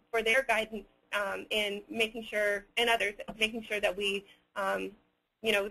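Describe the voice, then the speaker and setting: A man answering audience questions as a webinar presenter, unscripted, hesitant and halting as he picks his words with care. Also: sits high, gravelly, bright, forceful tone, rough recording.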